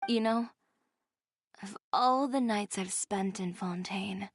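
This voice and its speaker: A self-assured young woman, a fashion designer in a video game. Her delivery is composed, measured and formal, at a medium pitch. The sound is dry, with no room to it. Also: slightly rough, no echo. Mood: wistful, calm. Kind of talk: video game dialogue acting.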